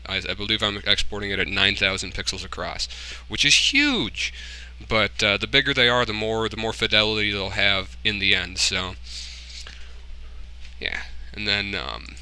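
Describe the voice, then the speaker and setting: A man giving a casual screen-recorded software tutorial, informally thinking aloud and muttering to himself as he works. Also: on the low side, smooth, poor audio. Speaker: tutorial host, hobbyist game developer.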